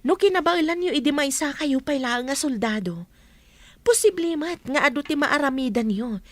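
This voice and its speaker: A woman's voice: high voice, lively intonation, moderate pace, no echo. A woman acting an affectionate, earnest grown daughter in an Ilocano radio drama. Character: affectionate grown daughter back from working abroad, earnest and supportive.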